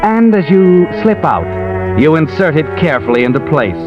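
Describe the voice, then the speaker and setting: An actor playing an ominous, taunting narrator in a 1950s radio suspense drama, with dramatic pauses. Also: male voice, on the high side, sing-song. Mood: tense, deliberate. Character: ominous male narrator taunting a guilty man. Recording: dull old radio recording, loud music behind.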